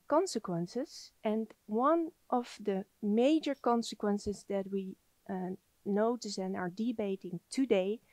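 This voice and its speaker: A woman in her thirties giving an academic lecture in a soft voice, standing in a library lecture space. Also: mid-range voice, no echo. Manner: soft-spoken.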